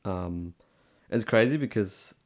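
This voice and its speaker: A man's voice: fairly low pitch, animated, a little slow. A young man with a strong Australian accent, talking in a laid-back casual chat full of slang and "like". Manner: laid-back slangy talk, lots of "like" and "bro".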